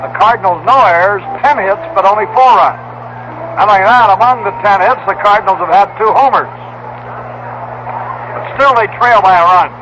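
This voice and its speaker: An American man calling baseball play by play on live radio, explaining the game in short, measured phrases. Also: sits high, sharp tone. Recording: noisy muffled vintage AM radio broadcast.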